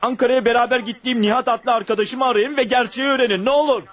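A man's voice: very high voice, steady tone, fast, fairly bright tone. A man acting a desperate, pleading murder suspect in a radio drama, faltering as he protests.

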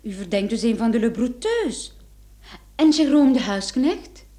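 A young private detective in a radio drama. Her voice is high with lively intonation. She stays cool and polite while pressing her questions with pointed persistence. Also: measured pace. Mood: curious, confident. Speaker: actress in a radio play. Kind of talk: Dutch-language radio drama acting.

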